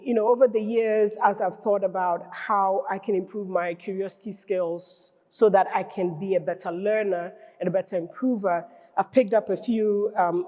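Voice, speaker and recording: A middle-aged woman from Ghana gives a keynote from a conference stage, her mellow voice trailing off into the long reverb of a large hall. She speaks thoughtfully, often repeating a word as she finds the next thought. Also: mid-range voice. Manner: thoughtful, restarts phrases while gathering her thoughts.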